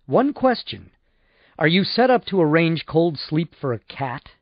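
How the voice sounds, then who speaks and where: A stubborn, sardonic man from a novel, played by a male narrator. He talks curtly and impatiently in a lively, fairly high voice. The recording is dry and slightly dull. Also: measured pace, no echo, heavily acted. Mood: blunt, determined. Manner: curt, sardonic. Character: blunt, stubborn male customer, wry and impatient.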